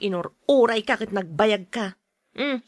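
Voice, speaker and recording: A woman's voice: mid-range voice, lively intonation, measured pace, close to an everyday voice. A woman acting a matter-of-fact friend repaying a debt in an Ilocano audio drama. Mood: hurried, polite.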